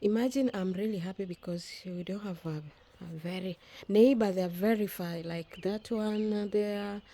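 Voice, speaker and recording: A woman's voice: mid-range voice, normal speed, warm tone. A woman whose first language is Swahili, chatting casually in a vlog as she films her garden outdoors on a phone, often restarting her phrases. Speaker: vlogger. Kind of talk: casual walk-and-talk vlog.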